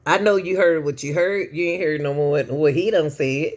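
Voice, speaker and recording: A woman giving casual opinion commentary as a channel host, slow and preachy, often calling out to "y'all.". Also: low-pitched, darkish tone.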